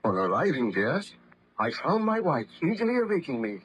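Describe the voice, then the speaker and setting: A man's voice: fairly high pitch, sing-song, moderate pace, fairly bright tone, slight background noise. An actor playing an anguished, guilt-ridden nobleman scientist in an old-time radio horror drama, speaking in formal, fervent melodrama. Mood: heavy, narrating. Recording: vintage radio drama recording, slightly muffled.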